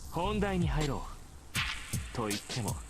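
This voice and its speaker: Smug, spoiled teenage boy over loud music. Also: a little high, very animated, moderate pace. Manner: condescending, sneering. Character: spoiled, snobbish teenage schoolboy, smug and petulant. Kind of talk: Japanese visual novel voice acting.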